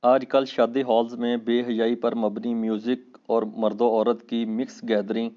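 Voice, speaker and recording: A man's voice: mid-range voice, little pitch movement, a little slow. A man reading out a question to a scholar, in a dull, muffled recording.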